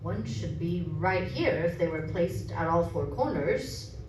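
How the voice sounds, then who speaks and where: A woman's voice: fairly low pitch, lively intonation, a little slow, a little mellow. A woman acting as a polite, hesitant young male helper in a radio horror drama. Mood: matter-of-fact. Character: respectful young man, a nervous helper. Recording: roomy distant recording, clear noise.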